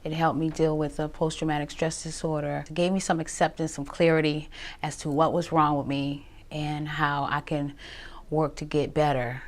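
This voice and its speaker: Low-voiced woman sharing her recovery story, a bit hesitant, lots of ums. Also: flat delivery, normal speed. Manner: earnest, hesitant, with fillers. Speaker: client. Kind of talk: personal testimonial.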